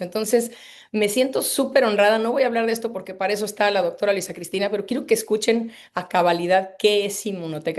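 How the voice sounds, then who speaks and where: A woman opens an online talk over a video call. She gushes and rambles, filling pauses with hesitations as she warms up her audience. Her voice sits in a middle register. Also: medium pitch. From Mexico City. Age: 50s. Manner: effusive and rambling, with many hesitations. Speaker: webinar host. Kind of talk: online presentation to a live audience.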